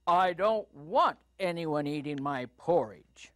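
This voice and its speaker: Woman doing a booming, stern Papa Bear. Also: animated, takes it slowly, dry recording, studio quality. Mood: indignant. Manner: stern, scolding.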